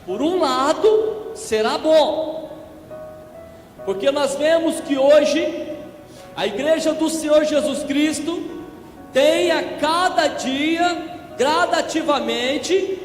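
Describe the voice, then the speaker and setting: Hoarse, high-voiced man preaching slowly. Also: faint music behind. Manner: slow, emphatic preaching that builds in force. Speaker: evangelist preacher. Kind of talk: church sermon. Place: church. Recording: echoey church with long reverb.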